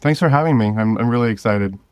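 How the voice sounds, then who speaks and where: A man chatting casually as an interview guest, halting as he searches for words. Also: medium pitch, clean tone, no room sound, dull audio. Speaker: podcast guest, writer and creator.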